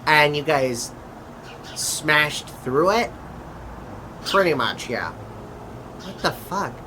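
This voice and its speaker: A man acting a chatty interdimensional adventurer in a conversational audio drama, with a halting, hesitant delivery. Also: fairly high pitch, animated, background noise. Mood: awkward, explaining.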